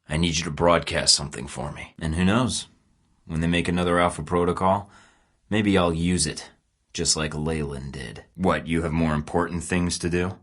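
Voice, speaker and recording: A hardened spy from a video game, terse and sardonic, with a very deep, low voice. He talks in cold, clipped threats and dry jabs. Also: a bit bright. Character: hardened male spy in his thirties, defiant. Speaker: game protagonist, secret agent.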